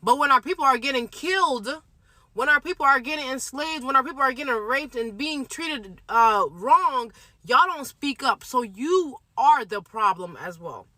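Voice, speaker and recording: A woman's voice: high-pitched, expressive, normal speed, pushed and bright, no room sound. A woman of Somali Bantu background speaking in an indignant, heated monologue to camera. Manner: indignant, repeats mocking quotes. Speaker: social media commenter.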